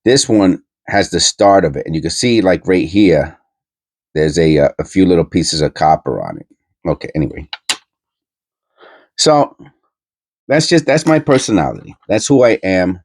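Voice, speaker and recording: Middle-aged New York man, stuffy and nasal, very low voice, dry recording, meandering and blunt. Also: sing-song, normal speed, long pauses, darkish tone, dry recording. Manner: blunt, meandering, many fillers. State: nasal from a cold. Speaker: coin-collecting channel host. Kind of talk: rambling casual monologue.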